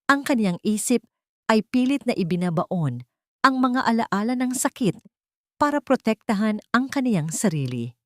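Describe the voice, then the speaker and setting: A woman's voice: a little high, big pitch swings, normal speed, dry recording, voice pushed up. A grave doctor in an audio story, voiced by a woman. The delivery is formal, serious and clinical, recorded close and dry.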